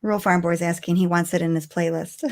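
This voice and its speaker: A woman's voice: fairly low pitch, fairly even intonation, fairly quick. A woman co-hosting a podcast, chatting casually in a bubbly, giggly manner. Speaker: podcast co-host. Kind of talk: casual live-stream chat.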